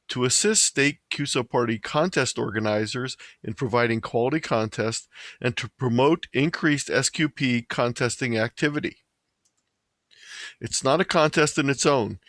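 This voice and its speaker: A man narrating a scripted slideshow presentation in a measured, slightly halting way, stumbling over the odd word. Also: medium pitch, a bit bright, no echo. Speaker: presenter of an amateur radio slideshow.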